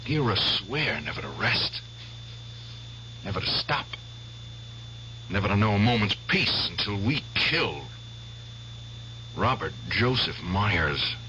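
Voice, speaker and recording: Obsessed vengeful war veteran, lively swinging pitch, bright tone, dull old radio sound, hiss. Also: male voice, takes it slowly, pauses often, heavily acted. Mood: intense. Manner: intense, insistent, swings loud and soft. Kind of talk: 1970s radio drama acting.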